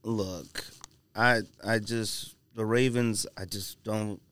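A man's voice: on the low side, steady tone, slow pace, a bit bright, clear, resonant tone, no echo. A man hosting a casual sports podcast, debating picks in an emphatic, argumentative, slangy way.

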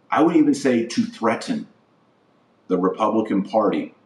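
A man's voice: fairly low pitch, a little mellow. An American man hosting a podcast, delivering an earnest political and religious monologue with emphatic repetition and building lists. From United States. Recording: roomy echo, distant from the mic.

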